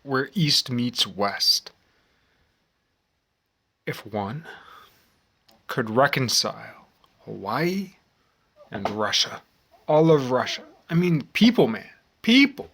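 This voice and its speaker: Slow-talking middle-aged American man. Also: medium pitch, expressive, gravelly, fairly bright tone, slightly rough. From United States. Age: 40s. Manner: halting, musing, repeats phrases with long pauses. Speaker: vlogger. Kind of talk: rambling reflective monologue.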